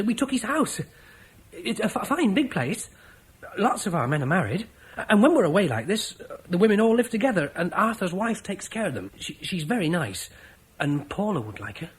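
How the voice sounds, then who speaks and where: A man's voice: sits high, very animated, a little fast, husky, heavily acted, rough recording. An actor playing a cheerful, brash young soldier in a classic radio drama, laughing as he tells of a fight. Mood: eager. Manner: boisterous, laughing storyteller. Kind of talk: 1950s radio drama acting.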